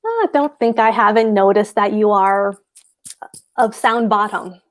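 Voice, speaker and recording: A woman's voice: mid-range voice, unhurried, close to an everyday voice. A woman reading a smug, philandering married landlord in a play, speaking in a blunt, coaxing, suggestive way. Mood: teasing, brazen. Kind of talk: play table read.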